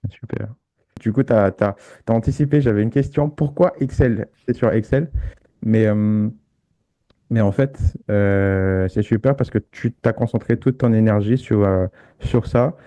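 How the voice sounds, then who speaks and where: A man presents an interview podcast as its host. His voice is soft-edged and mellow at a medium pitch, recorded very dry with hardly any room around it. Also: measured pace, dry recording, dull audio.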